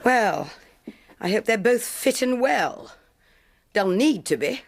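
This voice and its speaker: Long-suffering english landlady in a radio play, animated, fretting politely, faint hiss. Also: mid-range voice, takes it slowly, gravelly. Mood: fretful. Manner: polite, complaining, concerned. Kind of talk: British radio drama acting.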